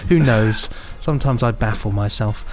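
A man's voice: fairly low pitch, moderate pace, a little mellow, soft background music. A British man co-hosting a podcast in a casual, chatty, playful and rambling way. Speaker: podcast co-host.

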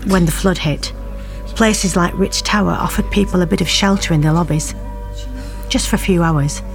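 A woman's voice: lowish voice, creaky, darkish tone. An actress playing a bereaved middle-aged mother in an audio drama, confiding her story in a plain-spoken, wry and bitter way. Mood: matter-of-fact, sombre. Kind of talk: audio drama narration, intimate monologue.

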